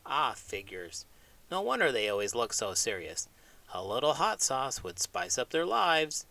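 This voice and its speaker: A man's voice: medium pitch, sing-song, a little slow, vocal fry, pushed and bright, heavily acted. A man voicing a cheeky, boastful pig obsessed with food, wisecracking in a comic children's read-aloud skit. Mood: cheeky, skeptical. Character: cheeky hot-sauce-obsessed pet pig, brashly confident.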